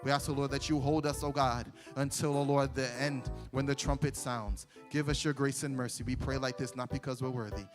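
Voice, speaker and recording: A man's voice: medium pitch, fairly even intonation, brisk, hardly any pauses, music in the background. A man leading a fervent congregational prayer in a church sanctuary, punctuating each phrase with "oh God" and "oh Lord". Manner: repeats "oh God" between phrases, rising and falling intensity. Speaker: worship leader praying.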